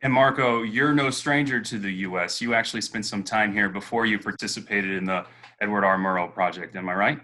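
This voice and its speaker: A man's voice: on the low side, brisk, pushed and bright. A man moderating an online panel, introducing guests and asking questions with occasional fillers. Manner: friendly, guiding questions with fillers. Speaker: host. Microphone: video call.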